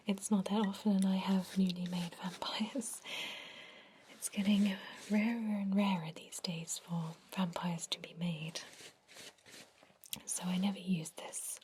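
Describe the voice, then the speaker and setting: A woman voicing a gentle, reassuring centuries-old vampire doctor in a soothing, breathy whisper, in an ASMR roleplay. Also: mid-range voice, background noise, close to an everyday voice. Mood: calm, curious. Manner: soothing, unhurried, attentive bedside explanations. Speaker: doctor examining a patient.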